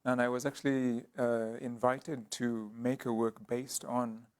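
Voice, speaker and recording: A man speaking as an artist guest in an interview, explaining his work thoughtfully with frequent pauses and restarts. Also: medium pitch, flat delivery, darkish tone. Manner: hesitant, many ums and restarts.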